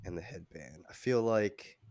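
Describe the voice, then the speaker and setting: A male podcast host with a somewhat low voice, roughened by a cough so it comes out throaty and a touch nasal. He kicks things off like an emcee, then settles into easygoing banter with his friends. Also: measured pace, dry recording, studio quality. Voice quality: a bit nasal. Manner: upbeat emcee-style openers, relaxed banter. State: hoarse with a slight cough. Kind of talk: casual podcast chat.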